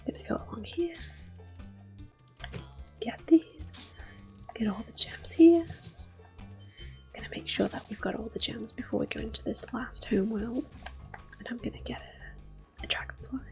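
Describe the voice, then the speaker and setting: Female gameplay host, ASMR: unhurried, soft-spoken to whispered, gentle, close-miked over faint music. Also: a little high, creaky. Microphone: close microphone. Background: soft game music.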